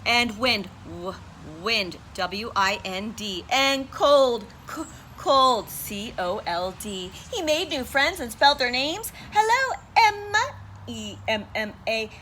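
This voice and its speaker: A woman's voice: a little high, animated, slow pace, bright, forceful tone, a little hiss or hum. A woman teacher reading a picture book aloud to her class, sounding out and spelling words letter by letter.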